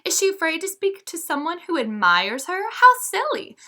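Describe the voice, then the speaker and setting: A woman voicing a sly male fox in a storybook, coaxing and flattering with theatrical, gushing pleas. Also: very high voice, moderate pace, voice pushed up, full character voice. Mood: coaxing admiration. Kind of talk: storybook read-aloud character voice.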